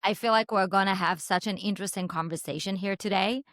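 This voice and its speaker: A woman whose first language is Hebrew hosts a podcast interview over a video call. She speaks eagerly and warmly, leaning on "you know" and "like" as fillers, and her voice sits at an ordinary pitch in a dry, close recording. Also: quick pace, no room sound. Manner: enthusiastic, filler-heavy. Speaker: podcast host. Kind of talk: interview hosting. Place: home in Dallas.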